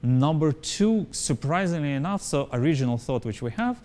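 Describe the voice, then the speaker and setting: A man's voice: a little high, animated, moderate pace, mellow. A man who speaks English as a second language, answering interview questions as a company founder in an emphatic way, repeating his points and checking with "right?". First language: not English.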